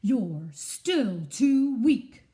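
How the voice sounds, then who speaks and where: A woman voicing big, scornful animal bullies in a children's picture book, loud, slow and taunting. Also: on the high side, very animated, voice pushed up, heavily acted. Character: scornful big male football animals: eagle, buffalo, bear.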